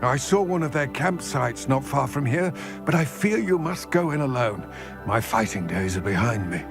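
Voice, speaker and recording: An actor voicing an aging, proud goblin in a video game, speaking in a formal, measured, eloquent way. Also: male voice, medium pitch, animated, vocal fry, music in the background. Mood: urgent, bitter. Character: aging male goblin, solemn, proud, formal former fighter. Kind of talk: video game cutscene acting.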